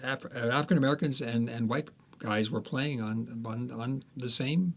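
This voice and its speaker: A man's voice: mid-range voice, moderate pace, creaky. A man, an author guest in a podcast interview, talking casually and hesitantly with many restarts.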